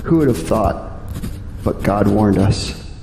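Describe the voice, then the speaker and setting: A man's voice: on the low side, animated, slow, creaky, roomy sound, long reverb tail, background noise, full character voice. A man playing an earnest young college graduate in an audio drama. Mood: weary, reflective. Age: young adult. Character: earnest young male college graduate, later a weary diarist.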